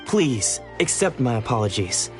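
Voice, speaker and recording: A young male samurai disciple in a video game. He speaks formally and earnestly in a mid-pitched voice, guarded and protective, with music clearly behind him. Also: very animated, measured pace. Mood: apologetic, earnest. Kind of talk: video game character dialogue.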